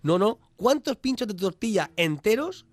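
Man, lively swooping delivery, playful explainer of puzzles on radio. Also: high-pitched, moderate pace, no echo. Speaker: mathematician contributor on a radio show. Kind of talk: casual radio chat explaining maths puzzles.

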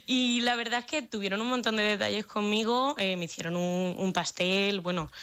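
A woman's voice: medium pitch, fairly even intonation, moderate pace, a bit bright, clean tone. A young woman from Spain with a slightly Madrid-leaning Spanish accent, chatting as an interview guest with many fillers and restarts. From Spain, Extremadura. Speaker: guest, au pair abroad. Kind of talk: casual remote interview chat.

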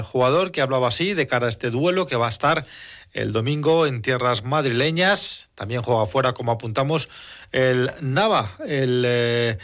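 A man's voice: mid-range voice, a bit bright. A man with a Spanish accent from Spain presenting sports news, linking interview clips with somewhat hesitant phrasing.

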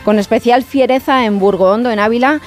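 A woman's voice: a little high, a little fast, soft background music. A woman hosting a radio travel show, greeting guests in a friendly, chatty way. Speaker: radio host. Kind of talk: radio magazine presenting.

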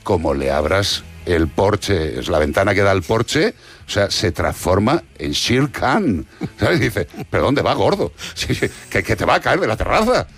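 A man co-hosting a radio talk show, telling stories in an animated, joking way. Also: fairly low pitch, a little slow, husky. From Spain. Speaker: radio co-host. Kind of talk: casual chat.

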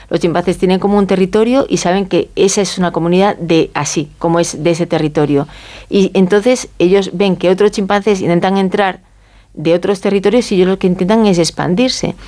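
A woman from Spain with a Castilian accent speaks in a soft-edged, mellow voice pitched a little low. She explains informally as an interview guest, in a conversational way, often ending phrases with a short checking question. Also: a little fast. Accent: Spanish from Spain. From Galicia, Spain. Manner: conversational, often seeks agreement with tag questions.

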